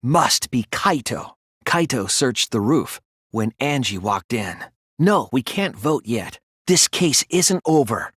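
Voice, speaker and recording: A man voicing an earnest teenage boy detective in a dramatic anime-style video game, hesitant at times and forceful when arguing. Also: medium pitch, sing-song, slow pace, husky, no room sound, studio quality, full character voice. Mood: tense, questioning.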